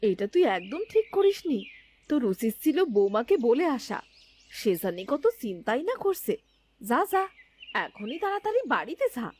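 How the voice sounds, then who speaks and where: Woman playing a doting, high-voiced village aunt. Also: sing-song, moderate pace, slightly rough. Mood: scolding. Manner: motherly, chatty. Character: doting, scolding middle-aged village aunt. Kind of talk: cartoon character dialogue. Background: music.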